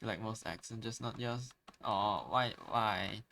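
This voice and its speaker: Young guy on a chill art stream, malaysian english accent, lowish voice, lots of likes and uhs. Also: slow, vocal fry, slight background noise. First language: Chinese. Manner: soft, rambling, many fillers and restarts. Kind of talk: casual chat while drawing on stream.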